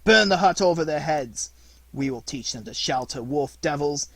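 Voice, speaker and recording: A man voicing an angry, superstitious village mob leader in a storybook, shouting for vengeance. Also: a little high, animated, normal speed, no echo. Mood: furious, frenzied.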